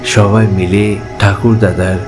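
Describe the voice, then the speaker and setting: A man's voice: fairly low pitch, little pitch movement, measured pace. A man narrating a scripted horror story as its storyteller. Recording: music behind the narration.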